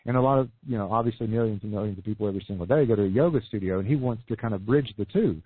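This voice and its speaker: A man coaching entrepreneurs in a podcast interview, losing his voice, talking in an energetic sales pitch with many restarts. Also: very fast, husky, no room sound. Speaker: business coach guest. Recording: phone-like lo-fi dry audio.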